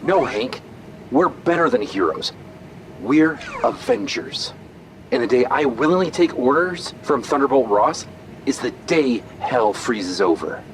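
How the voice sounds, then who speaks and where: An actor playing a sardonic, commanding billionaire hero. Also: male voice, medium pitch, very animated, unhurried, slight background noise, low-quality recording. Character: cocky male billionaire hero leader.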